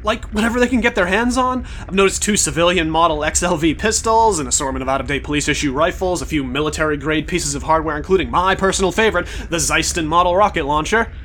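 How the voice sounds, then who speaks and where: An actor playing a gruff, exasperated male security chief in an audio drama. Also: a little high, fast, hardly any pauses, fairly bright tone, a little hiss or hum, natural voice. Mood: weary, blunt. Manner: exasperated, blunt.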